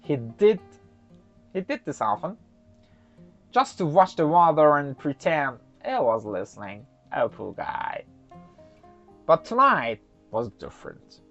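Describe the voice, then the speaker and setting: Expressive, animated storyteller over soft guitar music. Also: mid-range voice, takes it slowly, pauses often. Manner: expressive, theatrical storytelling with chatty asides. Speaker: storyteller and host. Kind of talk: children's story narration with casual asides. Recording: slightly dull, lo-fi microphone sound.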